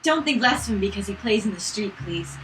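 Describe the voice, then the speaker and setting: A woman bantering through a game, excitable and dramatic, playfully reading out character lines. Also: mid-range voice, brisk. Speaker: let's play co-host. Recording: slightly noisy makeshift mic.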